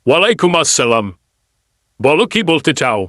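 A man's voice: on the high side, sing-song, normal speed, fairly bright tone, no echo, clean studio sound. A man voicing a sly, boastful leader of swindlers in a cartoon, bossy and condescending. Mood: scornful. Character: sly, scornful, boastful male ringleader of swindlers. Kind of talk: cartoon dubbing.

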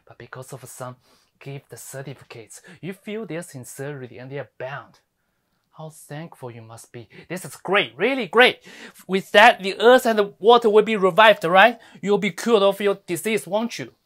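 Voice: medium pitch, normal speed, natural voice. An actor playing a sardonic, fiery lawyer in a theatrical monologue, scolding with scathing rhetorical questions. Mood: bitter mockery. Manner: scathing, rhetorical, swinging from hushed to ranting. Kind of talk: theatrical dramatic monologue performance.

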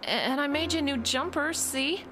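A fussing, timid mother acted by a woman in a horror radio play. She speaks haltingly in a fairly high voice, with music clearly underneath. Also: close to an everyday voice. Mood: nervously cheerful. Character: timid, fussing mother visiting her daughter. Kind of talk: radio horror drama acting.

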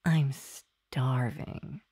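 A woman playing a sweet, tired demon girlfriend in an intimate ASMR roleplay, talking softly and affectionately to the listener. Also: extremely low pitch, fairly even intonation, extremely slow, breathy edge, no echo, clean studio sound, exaggerated voice. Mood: tired, cosy. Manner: soft, affectionate, sighing, confiding. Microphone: close microphone, dry room.

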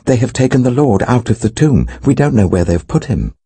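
A man's voice: mid-range voice, very animated, fairly quick, warm tone, breathy edge, no room sound, voice pushed up. An older man with a British accent voicing a grieving, bewildered woman disciple, earnest and pleading, in a scripture audiobook. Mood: distressed, pleading.

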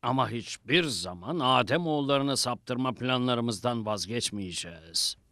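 An actor playing a scheming, commanding devil king in a religious cartoon, speaking deliberately and with menace. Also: medium pitch, expressive, pushed and bright, dry recording, clean studio sound. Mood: brooding, resolute. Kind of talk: dubbed religious cartoon acting.

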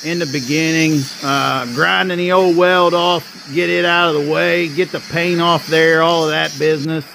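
A man's voice: on the high side, fairly even intonation, moderate pace, creaky, hardly any pauses, bright, forceful tone, a little hiss or hum. A man in his fifties, a welder hosting his channel, explaining his work in casual, practical how-to talk with plenty of filler. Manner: plainspoken, practical, with frequent filler. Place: welding shop and outdoor work site.

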